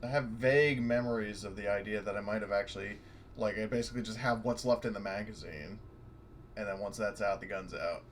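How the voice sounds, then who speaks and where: Excitable man, faint background hiss. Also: fairly low pitch, a little fast. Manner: excitable, swears and laughs often. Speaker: player. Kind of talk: casual chat.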